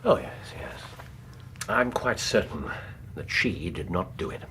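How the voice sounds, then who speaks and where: A brisk gentleman detective for British radio drama. His lowish voice swings animatedly as he probes with formal precision and cool assurance. A little noise sits behind him. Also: expressive, heavily acted. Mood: calm, assured.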